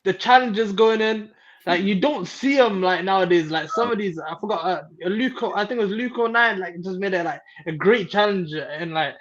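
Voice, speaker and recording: Casting: high-pitched rambling man, muffled lo-fi recording. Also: moderate pace. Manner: rambling, lots of fillers. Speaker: panel guest. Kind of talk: casual chat.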